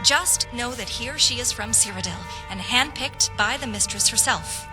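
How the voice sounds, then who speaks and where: A woman's voice: fairly high pitch, normal speed, lightly acted. A woman playing an earnest, patriotic young noble lady officer in a fantasy game, speaking formally and persuasively. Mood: explaining. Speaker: voice actor in a fantasy game quest mod. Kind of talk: scripted fantasy game dialogue. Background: background music.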